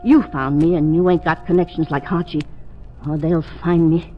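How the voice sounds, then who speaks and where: World-weary American underworld informant. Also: female voice, low-pitched, fairly even intonation, moderate pace, faint music behind. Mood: resigned. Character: wary, fatalistic female underworld informant selling evidence. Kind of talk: 1950s radio drama acting. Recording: dull old radio recording.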